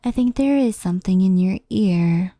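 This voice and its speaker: A woman playing a gentle, mischievous fox spirit in an ASMR roleplay, speaking softly and intimately, often in a whisper, like a reassuring mentor. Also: mid-range voice, animated, normal speed, no echo, clean studio sound.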